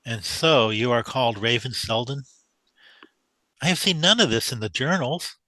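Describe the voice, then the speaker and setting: A man's voice: medium pitch, expressive, moderate pace, bright, forceful tone, dry recording, poor audio. An actor playing an earnest, humble young mathematician who speaks deferentially to his mentor in a radio drama. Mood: concerned.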